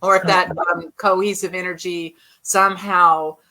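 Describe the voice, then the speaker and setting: A woman's voice: lowish voice, little pitch movement, moderate pace, sharp tone, rough recording. An American woman in a casual group chat, rambling and thinking aloud with frequent restarts. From United States.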